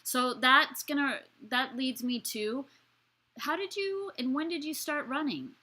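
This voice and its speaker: A woman's voice: sits high, unhurried, pushed and bright. A woman interviewing a guest over a video call, enthusiastic and often restarting her questions. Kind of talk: casual friendly interview.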